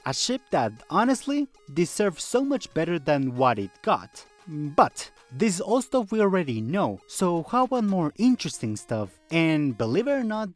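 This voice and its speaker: A video essayist narrating a scripted fandom video in a conversational way, with rhetorical questions and wry asides. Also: medium pitch.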